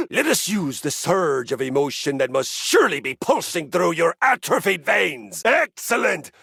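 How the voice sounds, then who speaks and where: A pompous, violence-loving exercise machine from a video game. The voice is gravelly and husky, the delivery slow and grand, swooping from hushed lows to booming highs. It sounds dry and close, recorded in a studio. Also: male voice, no echo, full character voice. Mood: rousing. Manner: theatrical, pompous, swings from low murmurs to booming shouts. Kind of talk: video game character acting.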